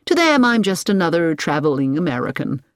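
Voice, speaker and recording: A woman voicing a brisk, secretive American woman traveler in a storybook, breezy and decisive with a hard edge. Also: lowish voice, big pitch swings, dry recording, studio quality. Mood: matter-of-fact.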